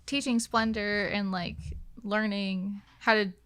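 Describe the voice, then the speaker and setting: A woman's voice: medium pitch, steady tone, slow pace, fairly bright tone. A young woman chatting casually as a guest on a podcast. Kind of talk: casual chat.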